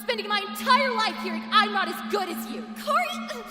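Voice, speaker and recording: A woman's voice: very high voice, lively intonation, normal speed, pushed and bright, voice pushed up, full character voice. A woman voicing a hot-tempered, bickering teenage twin sister in an audio drama, snappy and emphatic. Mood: furious. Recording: echoey hall reverb, music behind.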